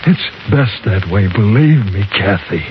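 An actor playing a haggard, fearful wounded man who speaks haltingly and pleadingly in a 1950s radio drama. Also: lowish voice, lively intonation. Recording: dull, noisy 1950s radio recording.

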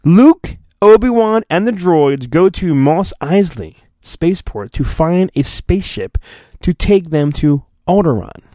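An animated, higher-voiced man does a mock-dramatic storybook reading for his podcast. He swings between theatrical narration and rambling, sarcastic asides, his pitch moving a lot. The recording is close and dry. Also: unhurried, no echo. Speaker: podcast host.

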